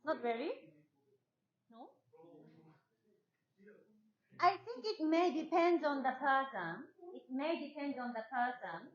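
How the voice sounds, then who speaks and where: A woman's voice: high-pitched, animated, takes it slowly, pauses often. A Japanese woman teaching a class in English with a strong Japanese accent, asking questions and often repeating herself. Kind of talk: classroom talk with students. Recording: echoey room, dull lo-fi sound.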